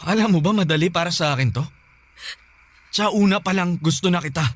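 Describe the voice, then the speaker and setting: A man's voice: high voice, brisk. A man playing a protective, stubborn young man in love in an emotional radio drama, earnest and pleading, with a Filipino accent. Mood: tender confession. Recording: music bed behind.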